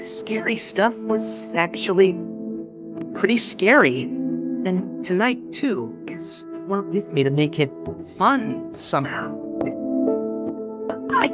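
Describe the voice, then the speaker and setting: A woman voicing a wistful young female robot character in an animated series. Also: on the low side, slow pace, pauses often. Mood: wistful, subdued. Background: clear background music.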